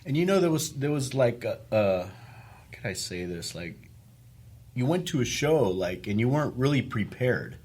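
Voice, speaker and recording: A man's voice: medium pitch, sing-song, normal speed, darkish tone, husky. A middle-aged man from Argentina, a lead guitarist, chatting casually and rambling with many restarts. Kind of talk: casual chat.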